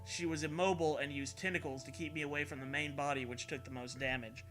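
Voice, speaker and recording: A man's voice: fairly high pitch, fairly even intonation, fast, a bit bright, soft background music. A man reading a story aloud as a host, in a comedic dramatic style with casual, irreverent, sweary asides. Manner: irreverent, sweary, often breaks into laughter.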